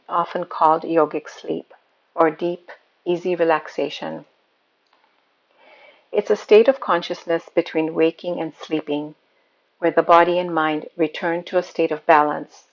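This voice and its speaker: A woman guiding a meditation as a yoga nidra teacher, speaking in a calm, soothing, unhurried manner. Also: on the low side, lo-fi sound. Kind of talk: guided meditation.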